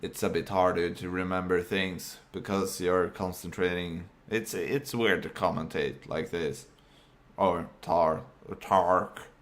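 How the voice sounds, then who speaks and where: Non-native English man, very deep, unhurried, talks in fits and starts. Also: steady tone. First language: not English. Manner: halting, many fillers and restarts. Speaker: vlogger. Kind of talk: casual unscripted monologue.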